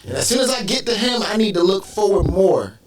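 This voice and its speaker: A man co-hosting a talk show in casual chat, relaxed and loose, cursing now and then. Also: high-pitched, a little fast, music in the background.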